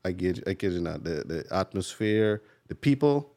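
Male interview guest, very low pitch, conversational delivery. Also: expressive, moderate pace, dark tone. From Guyana. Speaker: guest, club racing rider. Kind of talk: casual interview chat.

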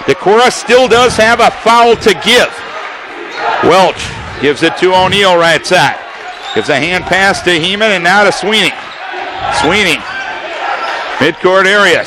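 A man calling live basketball play-by-play for radio, firing off rapid calls that rise to shouts on big plays, in a gym full of people. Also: high-pitched. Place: high school gym with a crowd. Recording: loud crowd and gym noise. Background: crowd and gym noise.

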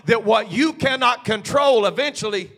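A male pastor preaching a sermon, swinging from hushed asides to shouted climaxes. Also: very high voice, moderate pace, soft background music.

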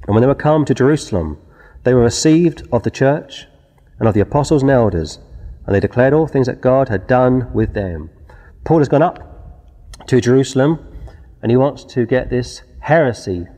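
A man's voice: mid-range voice, dark tone, in a large hall. A man preaching a sermon outdoors, reading scripture and pressing his warnings with emphasis. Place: outdoors before scenery.

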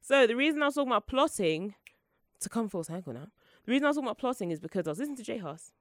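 A woman's voice: on the high side, very animated, racing, dry recording, studio quality. A young woman hosting her own solo podcast, chatting in a rambling, playful and self-mocking way, her voice congested from the flu. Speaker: podcast host.

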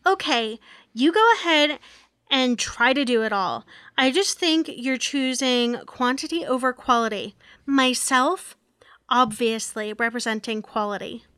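A woman's voice: high-pitched, a little slow, a bit bright, no room sound, clean studio sound. A woman playing an exasperated, sarcastic wife in an amateur sitcom table read.

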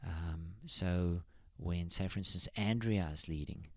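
Earnest low-voiced worship leader. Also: male voice, unhurried, pushed and bright, no echo, studio quality. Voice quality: high tenor singing voice. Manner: earnest, thoughtful, many ums. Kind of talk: casual church podcast chat.

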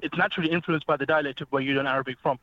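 A man's voice: a little high, steady tone, rapid-fire, sharp tone, dry recording. A man speaking as a guest on a radio show, explaining at length in an earnest, fluent way. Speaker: phone-in guest. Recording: dull phone-line sound with faint hiss.

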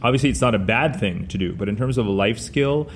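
A man's voice: mid-range voice, fast, a little hiss or hum. A middle-aged man whose first language is Hindi, answering interview questions in a discursive way with many "you know" fillers. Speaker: guest author.

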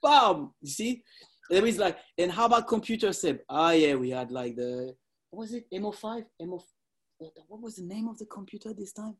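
High-voiced man in his forties. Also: moderate pace. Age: 40s. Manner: animated, laughing, slightly broken English. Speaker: parkour practitioner. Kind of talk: casual conversation.

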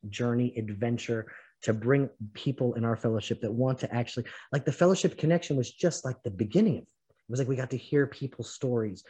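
A man's voice: mid-range voice, a little mellow. A man hosting a live online fellowship, talking casually and rambling with lots of fillers. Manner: rambling, many "like" fillers, laughs easily. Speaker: fellowship host.

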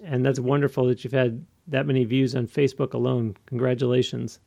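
A man's voice: medium pitch, little pitch movement, brisk, vocal fry, mellow. A man hosting an interview, polite and affirming as he asks questions. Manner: polite, affirming, says "I see" often. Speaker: podcast host. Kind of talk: interview host. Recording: dull lo-fi audio.

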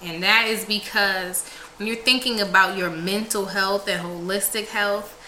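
A woman's voice: mid-range voice, steady tone, unhurried, pushed and bright, echoey room, slight background noise, rough recording. A woman in her twenties from South Carolina, hosting a podcast in a casual, upbeat, encouraging way. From South Carolina, USA. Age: 20s. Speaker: podcast host.

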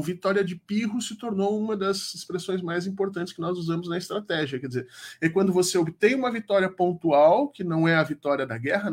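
A man with a cold talks in a fairly high, congested voice. He explains politics like a teacher over a video call, looping back with fillers and restarts. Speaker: political commentator, history teacher. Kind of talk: casual live show commentary.

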